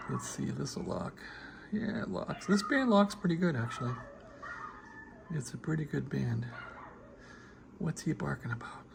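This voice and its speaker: A man giving a casual, rambling show-and-tell as a hobbyist, laid-back and halting as he thinks aloud. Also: mid-range voice, gravelly, a little hiss or hum. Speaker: hobbyist showing a restored radio receiver.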